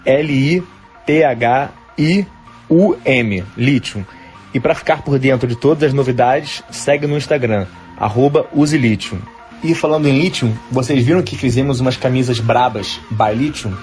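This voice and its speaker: Male host for a scripted podcast opener and ad read, upbeat, middle pitch, faint music bed. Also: a little mellow. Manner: upbeat, spells out words slowly.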